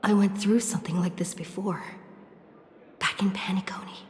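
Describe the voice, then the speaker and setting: A woman voicing a lost, determined young traveler in a video game, pensive and hushed, as if thinking aloud. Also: mid-range voice, fairly even intonation, moderate pace, in a whisper, lightly acted. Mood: hushed, resolute. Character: lost young female traveler, determined, introspective, self-steadying. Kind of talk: game voice acting, inner monologue. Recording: echoey long reverb, faint music.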